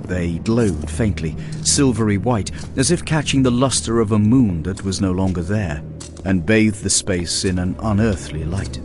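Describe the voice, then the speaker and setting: A man's voice: low voice, a little slow. A man reading dramatic fantasy narration in a grave, portentous manner as an audiobook narrator. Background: music.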